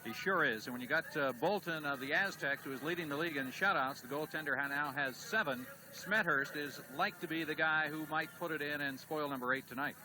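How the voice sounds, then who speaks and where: Relaxed 1970s soccer color commentator, man. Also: fairly high pitch, brisk, few pauses, background noise. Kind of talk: 1970s TV sports broadcast.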